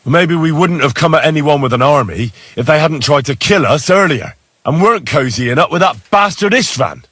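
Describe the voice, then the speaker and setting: Husky, forthright man-at-arms. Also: on the high side, expressive, moderate pace, a bit bright, no room sound. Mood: indignant. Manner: argumentative, direct.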